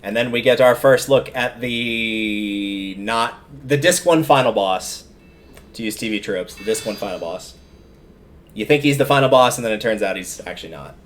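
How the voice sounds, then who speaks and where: A man's voice: on the low side, moderate pace. Casual male game streamer over music. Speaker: livestreamer. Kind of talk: casual video game livestream commentary.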